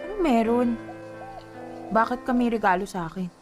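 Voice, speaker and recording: An actress playing a guileless, playful young woman in a radio drama, chirpy and expressive. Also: fairly high pitch, moderate pace, natural voice. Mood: playful, sweet. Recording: faint music behind.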